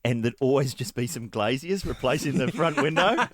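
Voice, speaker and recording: An Australian man hosts a podcast with teasing, comic banter. His pitch moves around in an animated way as he chats and questions. The sound is close and dry. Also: moderate pace, no room sound. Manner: jokey, teasing. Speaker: podcast host. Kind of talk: casual comic banter and interviewing.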